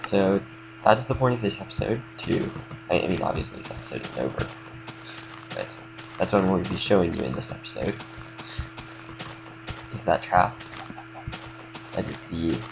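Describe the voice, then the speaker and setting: Deep, gravelly young man with a cold. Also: low-quality recording. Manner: relaxed, rambling, lots of fillers. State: slightly congested from a cold. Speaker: game tutorial creator. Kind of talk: casual gameplay tutorial commentary.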